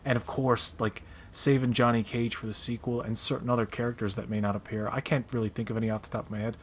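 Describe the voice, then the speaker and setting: Guy panelist, medium pitch, opinionated and into it, casual talk, noisy background. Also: flat delivery, quick pace. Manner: enthusiastic, opinionated, swears casually. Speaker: panelist on a live pop culture show. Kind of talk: casual chat about movie trailers.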